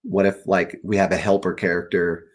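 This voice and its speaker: A man talking casually as an author guest in an interview, animated and rambling as he pieces ideas together aloud. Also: low-pitched, normal speed. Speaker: children's book author, guest. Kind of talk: casual interview answers about writing.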